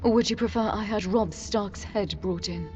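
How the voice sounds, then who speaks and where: A woman's voice: mid-range voice, moderate pace, darkish tone, natural voice. An actress playing an imperious, scornful queen mother in a fantasy game, cold and threatening. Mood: haughty. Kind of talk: dramatic fantasy game acting. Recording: muffled lo-fi, faint music behind.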